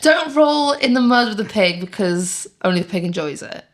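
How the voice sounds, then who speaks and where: A woman's voice: medium pitch, expressive, moderate pace. A woman co-hosting a podcast with playful, slangy, informal banter. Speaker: podcast co-host.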